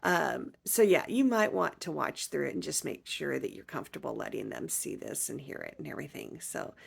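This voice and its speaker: Very gravelly, husky low-voiced woman. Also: lively intonation, fairly quick. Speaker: vlogger introducing own concert clip. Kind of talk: casual chat to viewers.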